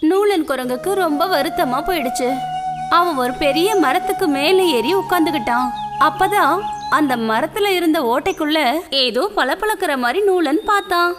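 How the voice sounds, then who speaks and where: A woman reads a scripted children's tale in a high-pitched voice. She serves as the narrator, with music playing clearly behind her. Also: expressive, normal speed. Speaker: story narrator.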